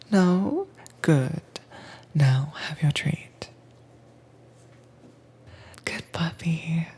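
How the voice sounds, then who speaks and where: A woman as a breathy, slow, low-voiced dominant mistress. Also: heavily acted. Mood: firm, teasing. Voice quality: breathy, whispery. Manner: soft-spoken, commanding, coaxing, deliberate. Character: dominant, teasing mistress training her werewolf pet. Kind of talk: intimate roleplay audio.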